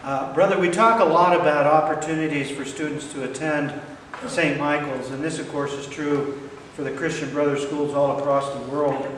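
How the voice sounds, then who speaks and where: Halting male host in an echoing hall. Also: on the high side, fairly even intonation, slight background noise. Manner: earnest, halting with fillers. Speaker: interviewer, event host. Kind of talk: onstage interview. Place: large hall. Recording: echoey hall from far off.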